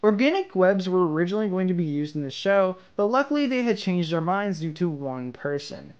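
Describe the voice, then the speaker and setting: A man's voice: sits high, expressive, brisk, dull audio. A man hosting a video with casual scripted narration. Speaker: video host.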